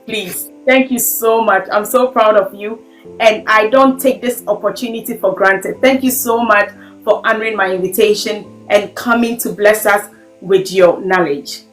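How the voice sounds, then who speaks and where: A woman hosting an interview, speaking effusively and filling pauses with "you know". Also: mid-range voice, roomy sound, soft background music, rough recording. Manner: effusive, warm praise, fillers like "you know". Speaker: host. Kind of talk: podcast interview host.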